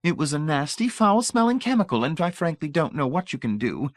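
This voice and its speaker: A woman's voice: low voice, very animated, brisk, no room sound, clean studio sound, pitched above the performer's own voice. A man voicing a gushing, sweetly menacing matronly older woman who talks in a syrupy, matter-of-fact way.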